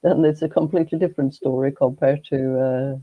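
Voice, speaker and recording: A man, a guest speaking in a halting, measured way with frequent restarts. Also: mid-range voice, fairly even intonation, dry recording, phone-quality sound. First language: Swedish. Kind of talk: casual conversation.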